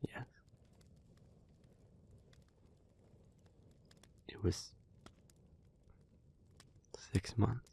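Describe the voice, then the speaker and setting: A man playing a gentle, solemn, remorseful demon in an intimate audio roleplay, speaking to the listener in a breathy, halting, earnest near-whisper. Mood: solemn, confessional. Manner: slow, halting, earnest, with long pauses.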